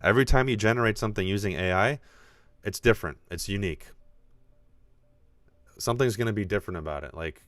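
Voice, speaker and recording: A man hosting a video podcast, talking casually and excitedly with frequent restarts in a deep voice. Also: quick pace, long pauses, no echo. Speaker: podcast host.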